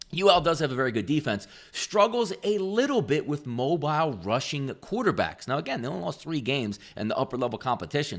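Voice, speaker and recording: A man's voice: mid-range voice, fairly bright tone. Emphatic male sports betting host. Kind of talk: sports betting analysis to camera.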